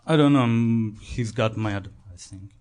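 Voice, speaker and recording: A man's voice: on the low side, darkish tone, clean tone. A man from Russia with a Russian accent, chatting casually and hesitantly as a guest on a comedy show. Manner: halting, hesitant. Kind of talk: casual chat.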